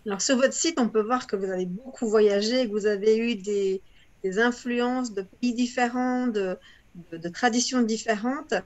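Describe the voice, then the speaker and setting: A woman with a fairly high voice conducts an interview in a conversational style. Her manner is welcoming and appreciative towards her guest. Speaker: interviewer, co-host.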